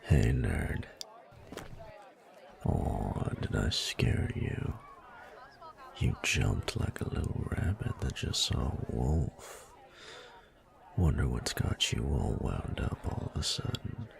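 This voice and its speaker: Man acting a rumbling, gravelly, cocky bully. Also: exaggerated voice. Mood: teasing, predatory. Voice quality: very deep, gravelly, breathy murmur. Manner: slow, drawling, taunting. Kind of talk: scripted audio roleplay monologue.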